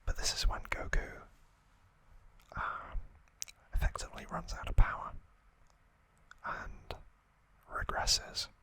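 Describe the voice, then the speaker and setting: A man whispers an ASMR recap in a breathy, unvoiced voice. The delivery is very slow and gentle, with deliberate pauses between short phrases. The whisper has a crisp, bright edge. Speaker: ASMR channel host.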